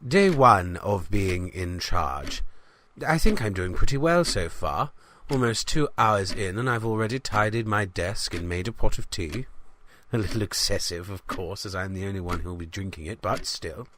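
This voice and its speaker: Low-voiced pompous, bumbling newspaperman, played by a man. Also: normal speed, slight background noise. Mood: self-important, rambling. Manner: rambling, self-important, deadpan. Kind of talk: comedic audio diary monologue in 1970s setting.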